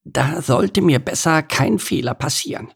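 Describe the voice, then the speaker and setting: A man's voice: fairly high pitch, animated, unhurried, vocal fry, husky, voice pushed up, full character voice. A man voicing a puzzled, easygoing male beaver who grumbles and muses to himself, in a children's storybook reading. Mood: musing.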